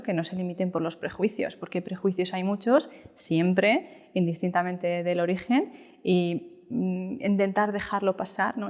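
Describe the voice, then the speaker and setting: A woman's voice: lowish voice, a little mellow. A thirty-year-old woman from Spain with a Spanish accent, chatting casually about her experience as an interview guest. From Valencia, Spain. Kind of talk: casual chat, explaining personal experience. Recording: echoey room with long reverb.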